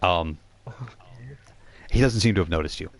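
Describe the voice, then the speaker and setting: A man with a low voice narrates as game master. He builds scenes haltingly and descriptively, improvising as he goes. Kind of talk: improvised tabletop role-playing narration.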